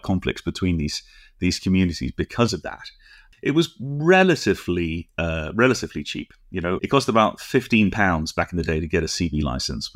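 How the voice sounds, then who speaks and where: A middle-aged or older British man co-hosting a casual podcast, explaining things in a halting, discursive way with frequent asides. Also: sits low, a bit bright, dry recording, studio quality. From United Kingdom. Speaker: podcast co-host.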